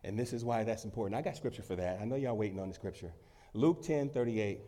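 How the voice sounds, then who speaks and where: A man preaching a sermon, emphatic, swinging between loud peaks and quieter stretches and repeating phrases for weight. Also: on the low side, fast. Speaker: pastor.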